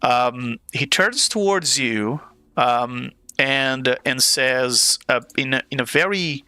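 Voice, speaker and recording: Bright, dry-sounding man as game master, slow and hesitant narration. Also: medium pitch, clear, resonant tone, dry recording. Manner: hesitant, many fillers.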